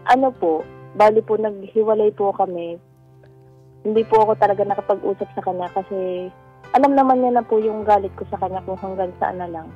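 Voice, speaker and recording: A woman's voice: medium pitch, a little fast, husky. A Filipino woman calling a radio advice show, telling her personal story conversationally in a polite, deferential way. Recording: dull lo-fi call audio over music.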